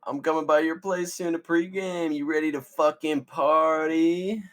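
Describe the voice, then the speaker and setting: A man's voice: fairly high pitch, steady tone, a little slow, a bit bright. A man playing a brash, crude teenage slacker in a casual comedy script read. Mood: brash, rowdy. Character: brash, crude seventeen-year-old slacker buddy. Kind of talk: script table read, casual comic acting.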